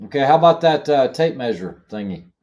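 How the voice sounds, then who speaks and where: A man's voice: mid-range voice, lively intonation, brisk, darkish tone, dull audio. A man in casual conversation as a co-host. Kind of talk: casual chat.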